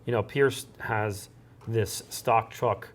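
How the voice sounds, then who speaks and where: A man's voice: fairly low pitch, slow pace. A man speaking as an interview guest, a fire chief explaining things conversationally.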